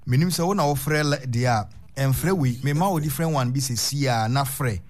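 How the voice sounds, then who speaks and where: A man's voice: medium pitch, a little mellow. Ghanaian man hosting a radio talk show. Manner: unhurried, drawn-out reading of phone numbers. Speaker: radio host.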